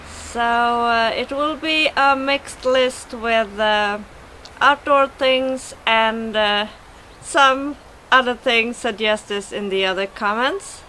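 A middle-aged woman with a Swedish accent, giving an informal word lesson to camera outdoors, speaking haltingly and pronouncing carefully. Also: fairly high pitch, sharp tone, a little hiss or hum, low-quality recording. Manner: slow, halting delivery with careful word-by-word pronunciation. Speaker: vlogger teaching Swedish words. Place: outdoors by a wooden fence in a garden.

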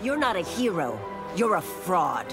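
A woman's voice: fairly low pitch, sing-song, a little slow. An actress playing a stern, righteous warrior woman in a fighting game, solemn and accusing. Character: stern, righteous female warrior condemning evil. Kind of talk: fighting game character dialogue. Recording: game music behind the voice.

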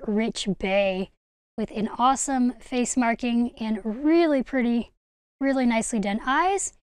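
High, clear-voiced enthusiastic young woman. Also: darkish tone, dry recording. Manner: enthusiastic, gushing. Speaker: video host, hobby collector. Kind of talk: casual haul vlog narration.

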